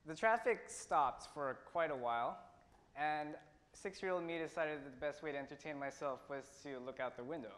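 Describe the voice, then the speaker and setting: A teenage man from India tells a personal story in an earnest way, pausing and restarting as he goes. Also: on the high side, a bit bright. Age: teens. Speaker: student speaker. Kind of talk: prepared personal talk. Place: auditorium stage. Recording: long hall reverb.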